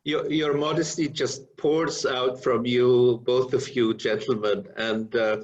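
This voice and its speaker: A man hosting an interview, who asks questions in a warm, complimentary way. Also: medium pitch, flat delivery, slow pace, lo-fi sound. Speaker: interview host.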